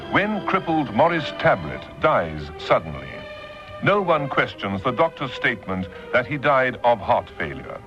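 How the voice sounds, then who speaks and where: A man announcing a radio drama in a formal, theatrical, scripted vintage style. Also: medium pitch. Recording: dull lo-fi radio broadcast. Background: prominent music.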